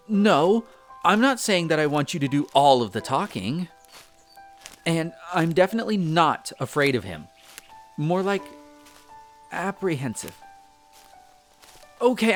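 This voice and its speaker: A man playing an earnest, bookish young elf apprentice in a roleplay audio drama, chatty and a little hesitant, easily flustered. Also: on the high side. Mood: flustered, defensive. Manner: hesitant, chatty, explains eagerly. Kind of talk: scripted roleplay audio drama addressed to the listener.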